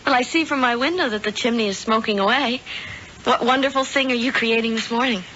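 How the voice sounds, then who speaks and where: An actress playing an innocent, eager young houseguest, polite and earnest, in 1970s radio drama style. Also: female voice, fairly high pitch, brisk, fairly bright tone, lightly acted. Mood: cheerful. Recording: muffled, noisy vintage radio broadcast.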